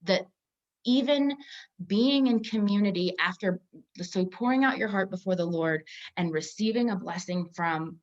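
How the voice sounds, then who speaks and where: A woman's voice: medium pitch, normal speed, muffled recording. A woman co-hosting a conversational Bible discussion in a thoughtful, reflective way. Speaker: podcast co-host.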